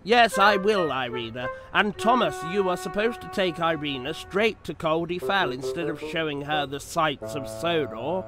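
A man's voice: on the high side, expressive, normal speed, hardly any pauses, natural voice. A man playing a stern railway boss. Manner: stern, scolding. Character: stern male railway controller. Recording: music underneath.

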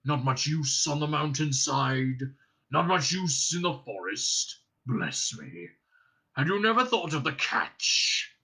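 A man voicing a huge, wily old male dragon, slow, mocking and boastful, in a storybook reading. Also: mid-range voice, pushed and bright, exaggerated voice. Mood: sly mocking.

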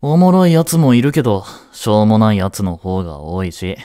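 A man playing a laid-back, cheeky, protective male high school student in a romantic audio drama, with casual, slangy, reassuring delivery. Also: big pitch swings, fairly quick, dark tone, smooth, no echo, clean studio sound. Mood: easygoing, reassuring.

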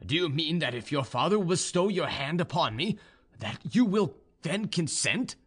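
An ardent young officer from a storybook romance, as a male narrator plays him. He is earnest and deferential, pleading his devotion with widely swinging pitch. He sounds humble and helplessly in love. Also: very animated, measured pace, fairly bright tone, husky, heavily acted. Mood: astonished, hopeful. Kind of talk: audiobook character dialogue.

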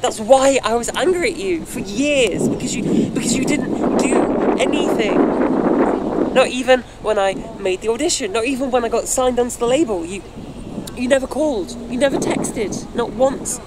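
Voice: medium pitch, animated, normal speed, natural voice. A young performer acting a bitter, wounded musician in a live play, speaking with reproachful, emphatic repetition. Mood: angry, wounded. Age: young adult. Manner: emphatic, reproachful, repeating phrases. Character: bitter, wounded young musician confronting an old best friend. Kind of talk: live theatre dialogue. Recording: noisy outdoor location recording.

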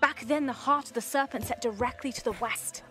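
A woman's voice: a little high, fairly quick, a bit bright, close to an everyday voice. An actress playing a determined, scholarly young woman archaeologist in a naturalistic game cutscene, intent and thoughtful. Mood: absorbed, explaining. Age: young adult. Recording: music behind the voice.

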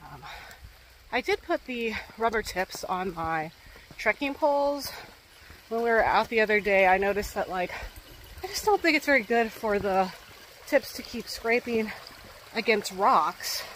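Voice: medium pitch, animated, measured pace, pauses often, fairly bright tone, a little hiss or hum, low-quality recording. A young adult vlogger talking casually to a phone camera held at arm's length while hiking a wooded mountain trail, a little out of breath, thinking aloud with many fillers.

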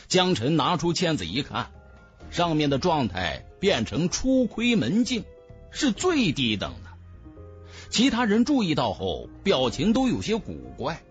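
Highly animated male audiobook narrator. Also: a little slow, lo-fi sound, faint music behind. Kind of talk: dramatic scripted narration of a fantasy novel.

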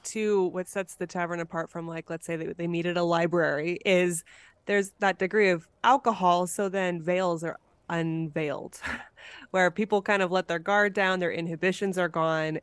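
A woman's voice: lowish voice, steady tone, normal speed, smooth, no room sound. A woman hosting a podcast, discussing ideas in a casual conversational style. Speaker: podcast host.

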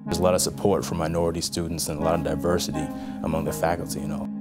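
A man's voice: extremely low pitch, level tone, rapid-fire, loud music behind the voice. A young man in his twenties, a medical student, talking casually in a documentary interview with lots of "you know". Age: 20s.

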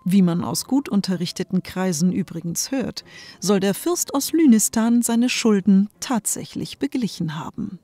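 A woman's voice: medium pitch, animated, normal speed, warm tone, no room sound, faint music behind. A woman narrator reading a scripted comic fairy-tale story.

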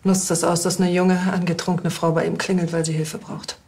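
Husky, low-voiced shrewd woman. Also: little pitch movement, a little fast, darkish tone. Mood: indignant. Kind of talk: TV crime drama acting.

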